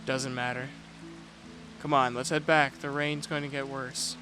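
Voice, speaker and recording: Audio drama lead: dutiful young prince and heir played by a man, reserved and measured, narrating his own tale over music. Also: medium pitch, level tone, lightly acted. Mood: quietly resolute. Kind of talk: first-person audio drama narration with dialogue. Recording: music and rain behind the voice. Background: music and rain.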